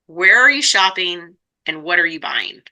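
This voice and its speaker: A woman's voice: fairly low pitch, animated, normal speed, sharp tone, rough recording. A woman hosting a podcast interview in a casual, conversational style. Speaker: podcast host.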